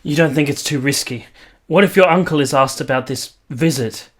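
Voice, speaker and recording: An actor playing a wistful nineteenth-century gentleman lover in a radio play, speaking in formal, literary phrases. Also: a little high, moderate pace, close to an everyday voice. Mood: concerned. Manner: literary, lingering, formal storytelling. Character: romantic, wistful 19th-century Italian gentleman lover recalling his affair. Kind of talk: radio play acting and first-person narration.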